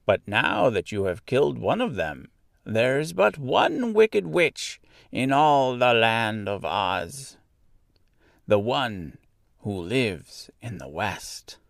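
A little old woman from a storybook, a good witch with a calm, kindly temper, acted out by a man. The delivery is slow and stretched out, swinging widely in pitch, with some creak in the voice. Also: no room sound, clean studio sound, full character voice. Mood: patient, assured. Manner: deliberate, stretched-out, explanatory phrasing. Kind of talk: storybook character voice.

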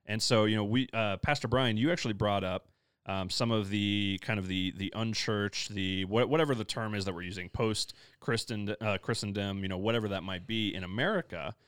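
A man's voice: on the low side, brisk, fairly bright tone, clear, resonant tone, no room sound, clean studio sound. A man from the Midwest leading a casual church panel discussion, rambling with many fillers and joking asides. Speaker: pastor, discussion moderator.

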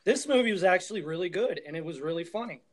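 A man's voice: sits high, expressive, fast talker. A man chatting casually as a panelist on a podcast. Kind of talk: casual chat.

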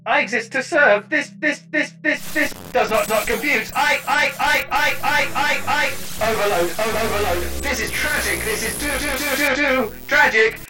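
A man's voice: very high-pitched, normal speed, few pauses, music in the background. A man playing a chirpy, smugly polite artificial intelligence in an audio comedy drama, solicitous as a salesman. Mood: distressed, glitching. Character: chirpy, eager-to-please male coffin AI, smugly polite.

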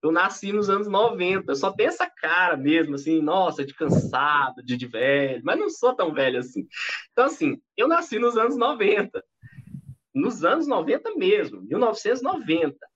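A Brazilian man in his thirties, a guest in an interview, talking in a chatty, animated way with frequent restarts. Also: high-pitched, fairly quick, slightly rough. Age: 30s. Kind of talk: casual interview talk. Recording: dull lo-fi audio.

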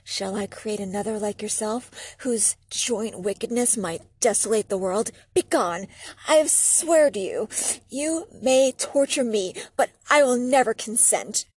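A woman's voice: a little high, a little slow, a little mellow, slightly rough, no echo. A woman voicing an anguished, defiant man in a gothic audiobook, vehement, formal and declamatory. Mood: furious defiance. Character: Victor Frankenstein, anguished, defiant, enraged male creator. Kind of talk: audiobook character reading.

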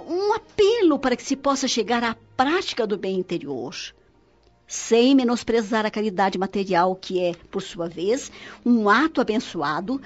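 Radionovela role: loving spirit mother, Brazilian accent, husky higher voice, solemnly teaching her son. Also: a little mellow. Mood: tender, instructive. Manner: tender, didactic, solemn, preacherly. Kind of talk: radio soap opera acting, instructive monologue. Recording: dull lo-fi radio drama with music.

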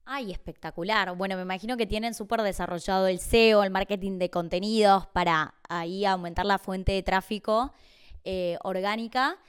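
A woman's voice: mid-range voice, normal speed, clean tone. A woman, interviewing a guest as a podcast host.

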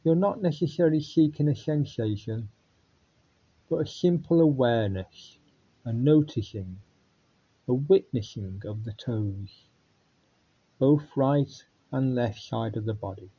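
A man guiding a body scan meditation in a calm, unhurried way with long pauses. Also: mid-range voice, slightly rough, dull audio. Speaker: meditation guide.

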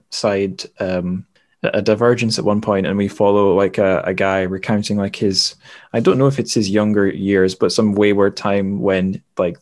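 Male podcast host, lower register, informal literary chat, halting delivery as he thinks aloud. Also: darkish tone. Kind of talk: casual book discussion.

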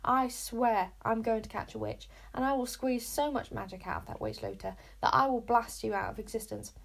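A woman voicing a hot-tempered boy wizard with no magic, hissing and shouting. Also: a little high, lively intonation, moderate pace, creaky. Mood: furious. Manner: hissing, spitting, shouting in anger.

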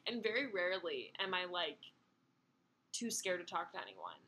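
Give me a chatty young woman host with a bright, clear voice. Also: moderate pace. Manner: chatty, lots of "like", lively swings in pitch. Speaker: podcast host. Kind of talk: casual chat.

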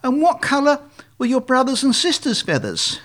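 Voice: high voice, wildly swooping pitch, normal speed, fairly bright tone, voice pushed up, heavily acted. A performer voicing a male swan leader in a storybook, explaining things emphatically with well-meaning but strained patience. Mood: exasperated.

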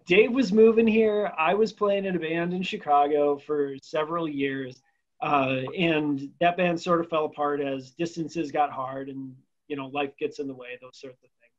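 A man in a band, telling the story of how the group formed in a relaxed, upbeat way. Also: fairly high pitch, measured pace, dull audio. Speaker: band member guest. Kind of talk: casual chat.

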